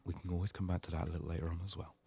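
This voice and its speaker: A soft-spoken man murmuring low-key ASMR game commentary, thinking aloud in a slightly sick, strained voice. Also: little pitch movement, very fast. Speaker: ASMR gaming YouTuber. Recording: faint background noise, clicking.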